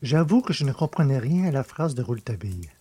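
A man's voice: mid-range voice, very animated, quick pace, warm tone, husky. A man reading literary fiction as a scripted audiobook narrator.

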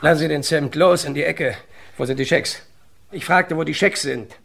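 Menacing male crook, raised, creaky voice, barking orders, vintage radio thriller. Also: on the high side, moderate pace, a bit bright. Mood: threatening.

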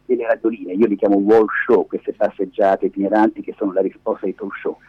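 A man's voice: on the low side, flat delivery, fairly quick, slightly rough. A man in middle age talking casually as a radio interview guest, explaining things emphatically and wandering into digressions over the phone. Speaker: interview guest, media activist and lab director. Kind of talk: casual spontaneous radio conversation. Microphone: phone held against face. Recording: muffled, echoey phone call on radio.

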